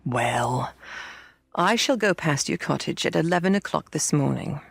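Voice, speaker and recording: A woman's voice: low-pitched, lively intonation, measured pace, creaky, slightly rough, no echo, soft background music, heavily acted. A woman doing an aloof, teasing village girl.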